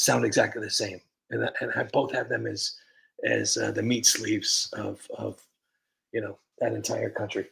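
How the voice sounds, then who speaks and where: A man's voice: medium pitch, creaky, fairly bright tone, poor audio. A man hosting a comedy livestream, chatting casually and rambling with lots of fillers and restarts. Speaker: comedy channel host.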